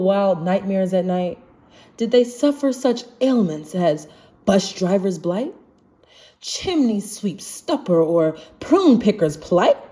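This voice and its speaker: A woman reading a rhyming children's storybook aloud in an expressive, measured manner. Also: medium pitch. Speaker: children's book reader.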